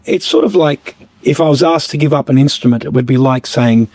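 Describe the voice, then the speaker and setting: A man, a guest musician, talking reflectively in a podcast conversation, a bit croaky, halting with many fillers and restarts. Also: medium pitch, lively intonation, darkish tone, no echo. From Australia.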